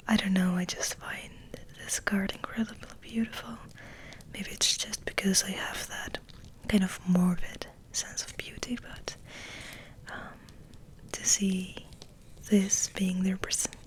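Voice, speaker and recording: A woman whispers softly and slowly as an ASMR host. Her delivery is gentle and rambling, with a medium pitch under the hush. Now and then she repeats a word quietly. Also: rough recording. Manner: gentle, hushed, unhurried, often repeating words softly.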